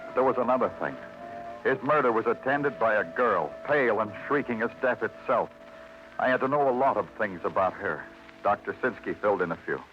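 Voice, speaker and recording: Actor as a low-voiced, brooding radio detective, American man. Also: lively intonation, a little fast, faint music behind, lightly acted. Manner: terse, brooding, wry. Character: weary, hard-boiled New York police detective. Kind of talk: 1950s radio crime drama acting, noir narration. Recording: muffled vintage radio recording.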